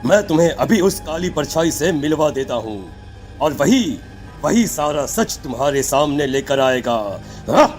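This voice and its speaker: A stern occult master from a cartoon ghost story, acted by a voice performer. The delivery is grave, measured and commanding. Faint music runs behind it. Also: mid-range voice, animated, close to an everyday voice. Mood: accusing. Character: stern, accusing village occult holy man. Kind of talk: cartoon horror story voice acting.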